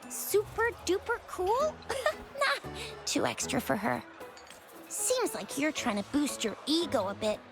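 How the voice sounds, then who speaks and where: A woman playing a perky, teasing, skeptical young female companion in an anime-style video game, expressive and casual. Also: high voice, unhurried, creaky, full character voice. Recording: loud game music behind. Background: game music.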